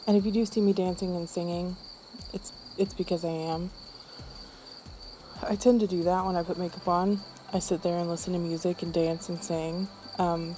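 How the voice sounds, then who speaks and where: An unwell woman with throat trouble giving a casual makeup tutorial voice-over in a low-energy, subdued manner. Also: noisy background. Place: voice-over recorded apart from the footage.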